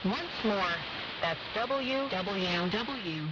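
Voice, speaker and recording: Female radio announcer, scripted sign-off read, muffled shortwave reception buried in loud noise. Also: mid-range voice, expressive, very slow, far from the mic. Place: heard over an indoor shortwave receiver. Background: loud static and noise.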